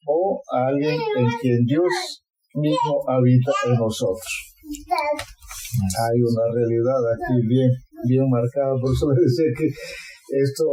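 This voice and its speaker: Man, mellow tone, faith teacher, reflective, questioning, soft music underneath. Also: on the high side, low-quality recording. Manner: measured, reflective, posing questions to the group. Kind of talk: casual teaching chat.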